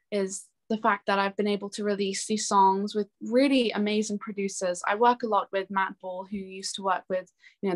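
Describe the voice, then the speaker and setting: A young woman speaks as an interview guest in a casual, chatty way. She sounds earnest and open, with a medium pitch and an easy conversational flow. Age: young adult. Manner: chatty, earnest, laughs easily. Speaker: interview guest, singer-songwriter.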